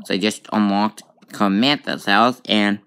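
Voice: sits low, fairly even intonation, a little slow, fairly bright tone, clear, resonant tone. A gamer giving casual let's play commentary on a tablet game, reacting aloud with frequent exclamations. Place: indoors at a tablet.

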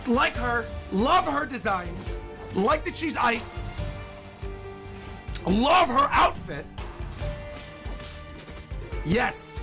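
A man's voice: very high voice, big pitch swings, very slow, gravelly, pauses often. A young man giving casual, enthusiastic reaction commentary as a streamer, often repeating his phrases. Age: young adult. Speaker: streamer reacting to game trailers. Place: small home room, indoors. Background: game trailer music.

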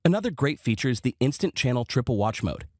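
A man's voice: mid-range voice, big pitch swings, fast, a little mellow, no echo, studio quality. A man reading scripted product review narration with a polished, promotional delivery.

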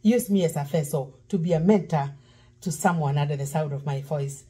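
Husky-voiced woman pastor preaching. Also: deep voice, fairly quick, warm tone. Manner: preachy, breaks into song. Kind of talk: cooking demo sermon. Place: home kitchen. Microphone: fixed camera across counter.

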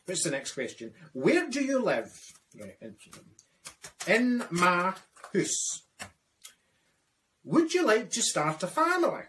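A man's voice: sits high, huge pitch swings, takes it slowly, long pauses, a bit bright, slight background noise, voice pushed up, full character voice. A Scottish man voicing a chatty older widowed woman who rambles with dry asides, in a comic character monologue. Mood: gossipy.

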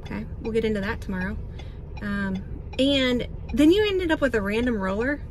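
A woman's voice: on the high side, lively intonation, normal speed. A woman in her forties chatting casually and ramblingly to a phone camera at arm's length as she vlogs from a moving vehicle. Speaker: vlogger, host. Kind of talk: casual chat. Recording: loud vehicle and road noise.

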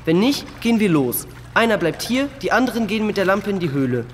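A man's voice: high voice, big pitch swings, quick pace, faint music behind. A male performer playing an earnest, responsible boy who leads his friends in a children's audio drama. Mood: firm.

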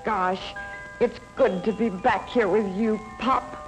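Woman voicing a slow, earnest cartoon little boy raindrop. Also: mid-range voice, lightly acted, poor audio. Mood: glad, relieved. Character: young boy raindrop, a cartoon child, glad and contrite. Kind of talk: mid-century educational cartoon acting. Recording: old film soundtrack, faint music.

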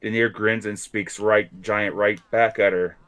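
A man playing a tabletop game, speaking in character and describing actions in measured, deliberate phrases. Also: lowish voice, flat delivery, a bit bright, clean tone.

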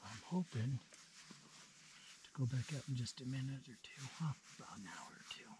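A man in his thirties vlogging solo from a small tent while camping, talking slowly and sometimes dropping to a whisper. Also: mid-range voice, background noise. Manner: slow, relaxed, drops to a whisper at night. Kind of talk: casual vlog narration. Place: small tent outdoors in the mountains.

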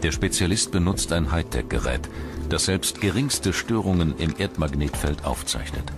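Male documentary narrator, standard German, very low register, prominent music underneath. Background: background music.

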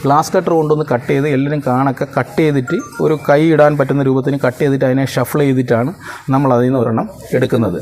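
Fast-talking, insistent Malayali man. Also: medium pitch, steady tone, a little mellow, faint music behind. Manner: emphatic, repeats points insistently. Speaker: interviewee, homeowner organizing a lucky draw. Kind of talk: interview explanation.

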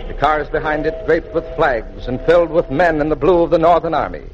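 A man's voice: a little high, animated, fairly quick. A man announcing and narrating a 1940s radio program with formal, dramatic, scripted delivery. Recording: muffled, noisy 1940s radio transcription.

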